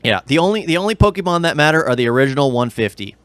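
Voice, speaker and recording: Smooth-voiced man in casual podcast chat. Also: a little high, expressive, racing, a bit bright, no room sound. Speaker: podcast co-host.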